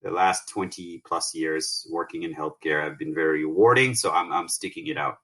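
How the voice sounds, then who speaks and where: Low, bright-voiced middle-aged man. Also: slightly rough. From Tanzania. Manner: halting, many fillers and restarts. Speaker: guest, company founder. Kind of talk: conversational interview.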